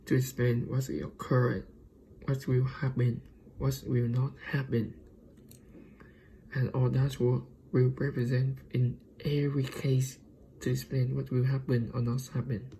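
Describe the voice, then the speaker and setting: A man from Vietnam with a Vietnamese accent gives an informal student presentation in halting, hesitant non-native English. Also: mid-range voice, vocal fry, warm tone, a little hiss or hum, low-quality recording.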